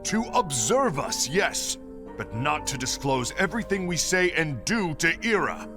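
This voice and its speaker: A man's voice: fairly high pitch, sing-song, a little slow. A man playing a firm, persuasive leader over music. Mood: accusing. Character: stern male leader urging an alliance. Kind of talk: fan dub voice acting. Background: background music.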